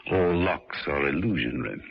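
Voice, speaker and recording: An actor playing a suave, unflappable gentleman agent in 1960s radio drama style, dry and understated. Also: very deep voice, animated, normal speed, gravelly, exaggerated voice. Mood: cool. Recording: noisy lo-fi old radio.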